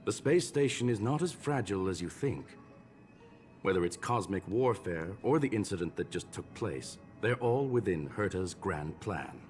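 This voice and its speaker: An actor playing a courteous, calculating scholarly gentleman in a video game, speaking in a formal, measured, polite way. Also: sits low, expressive, faint music behind. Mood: courteous, calm.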